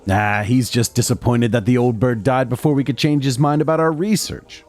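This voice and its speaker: A man playing a mild young doctor who narrates and speaks in an audio drama, measured and formal. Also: mid-range voice, fairly even intonation, darkish tone, soft background music, lightly acted. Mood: familiar, chiding. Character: mild, conciliatory young male doctor, loyal colleague and narrator. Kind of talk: literary first-person narration and dialogue in audio drama.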